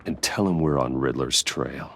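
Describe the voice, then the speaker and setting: A male actor playing a composed, guarded wealthy man in a video game, measured and controlled. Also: rumbling low, gravelly, very noisy. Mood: businesslike.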